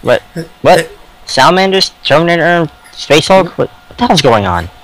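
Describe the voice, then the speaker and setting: A man co-hosting a podcast, chatting casually in a halting, hesitant way and often repeating himself. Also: a little high, sing-song, fairly bright tone, poor audio. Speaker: podcast co-host. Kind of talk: casual chat.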